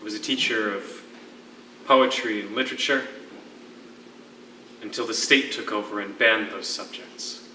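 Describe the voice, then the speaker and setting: Stage role: wistful poet and ex-teacher in captivity played by a man, very bright forceful voice, eloquent phrasing, echoey distant recording. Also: lowish voice, steady tone, slight background noise. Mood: gentle, reflective. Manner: eloquent, theatrical, measured storytelling. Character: lyrical, wistful former poetry teacher, a political prisoner. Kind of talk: live one-act stage play.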